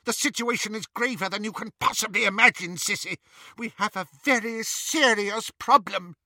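A man's voice: high-pitched, moderate pace, bright, forceful tone, no room sound, studio quality, voice pushed up, full character voice. A man voicing an imperious, frightening witch on the edge of madness, commanding and volatile, in an audiobook story. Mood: frantic fury. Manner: shrieking, commanding.

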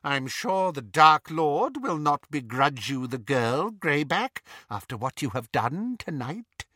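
A man's voice: sing-song, takes it slowly, bright, forceful tone, no echo, studio quality, exaggerated voice. A man voicing a cruel, menacing witch who sneers and shrieks, raising his voice well above his own. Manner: sneering, shrill. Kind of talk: audiobook character voice.

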